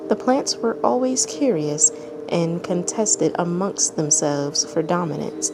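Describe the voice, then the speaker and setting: A woman's voice: on the low side, rough recording. A woman reading a story aloud as a dramatic narrator, in the manner of someone sharing fan fiction. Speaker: fan fiction reader and channel host.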